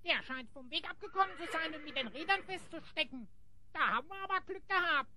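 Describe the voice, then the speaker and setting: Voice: high voice, lively intonation, brisk, bright, forceful tone, full character voice. A performer voicing a small, cheeky, grumbling, always hungry sidekick creature in a German audio play. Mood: curious, excited.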